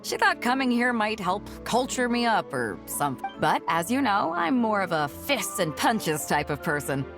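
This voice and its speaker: An easygoing female sea captain from a game, blunt and joking. Her mid-range voice swings freely in pitch, and music plays clearly behind her. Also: sing-song, moderate pace, few pauses. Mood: easygoing, amused. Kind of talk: animated game voice acting.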